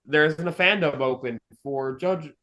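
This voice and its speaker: A man's voice: fairly high pitch, normal speed, pushed and bright, lo-fi sound. A man talking casually and earnestly, with emphatic praise and reflection, as a podcast host. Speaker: fandub director and podcast host. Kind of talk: casual chat.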